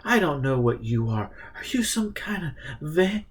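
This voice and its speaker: A man's voice: fairly high pitch, sing-song, measured pace, husky, voice pushed up, full character voice. A man voicing a big, hot-tempered man in an audiobook, blunt and confrontational out of fear. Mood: scared anger. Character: hot-tempered, big male narrator-hero, scared and fierce.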